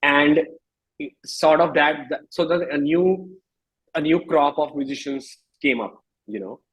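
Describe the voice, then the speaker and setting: A man's voice: fairly high pitch, measured pace, a bit bright, slightly rough, low-quality recording. A man in his thirties with an Indian accent, a musician speaking casually and emphatically in an interview, repeating phrases for stress. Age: 30s.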